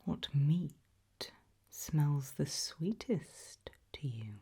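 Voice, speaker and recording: Breathy whispering British woman, creepy ASMR examiner. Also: low voice, expressive, mellow. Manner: whispering, slow deliberate questioning. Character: creepy, probing female institute examiner, quietly playful. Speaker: ASMR roleplayer playing an interviewer.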